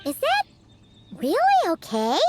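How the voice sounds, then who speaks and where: A woman voicing a tiny, chirpy, curious childlike fairy companion with a squeaky voice in a video game. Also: wildly swooping pitch, unhurried, heavily acted. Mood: concerned. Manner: squeaky, speaks of herself in third person.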